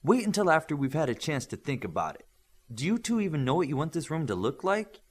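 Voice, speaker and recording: Male reader as fussy young woman, audiobook story, raised pitch, scolding and emphatic. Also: very animated, quick pace, breathy edge. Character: bossy, exasperated young woman with exacting taste.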